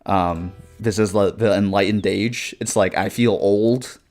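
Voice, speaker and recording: Low-voiced rambling young man streaming. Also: fairly even intonation, a little slow, darkish tone. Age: 20s. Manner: rambling, swearing, frequent laughs and fillers. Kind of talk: casual live-stream chat reading viewer comments.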